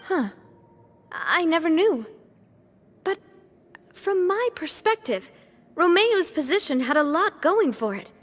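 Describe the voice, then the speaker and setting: A woman's voice: very high-pitched, sing-song, takes it slowly, pauses often, heavily acted. A woman playing a young guild boss in a game, thoughtful and firm when she speaks. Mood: earnest.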